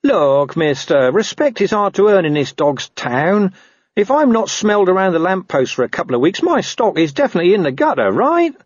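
A man's voice: sits high, sing-song, normal speed, no echo, highs cut off, pitched above the performer's own voice. A man voicing a wary, boastful talking dog with grumbling, streetwise speech in an audiobook. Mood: suspicious, grumbling. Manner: grumbling, cocky, uses rough slang and bad grammar.

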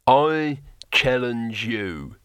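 A man voices a brawny male barbarian from a fantasy storybook, forceful and growling. He talks in blunt, terse, slow phrases with stubborn pride. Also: mid-range voice, clean tone, no echo, studio quality. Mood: defiant, angry. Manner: terse, defiant.

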